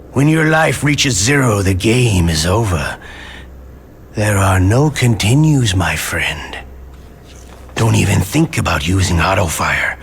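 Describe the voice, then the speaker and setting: A man playing a cold, gloating middle-aged interrogator in a video game, taunting and menacing in a deliberate delivery. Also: low voice, gravelly, a bit bright, a little hiss or hum, heavily acted. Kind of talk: dramatic video game voice acting. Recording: music under the voice.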